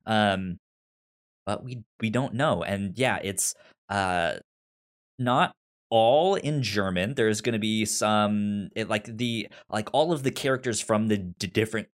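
Podcast host, androgynous voice, medium-low pitch, casual discussion, halting delivery with repeated words.

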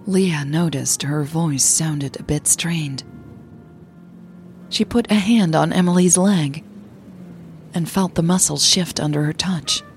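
A woman narrating a scripted audio drama in a soft, breathy delivery. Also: low-pitched.

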